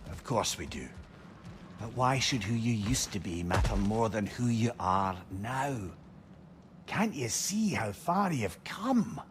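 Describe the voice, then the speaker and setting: A very animated Scottish old sage. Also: male voice, fairly low pitch, slow pace, noisy background. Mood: gentle, encouraging. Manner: chatty, wry, expressive storyteller's phrasing. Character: wry, clever, encouraging old male sage companion. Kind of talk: dramatic video game acting.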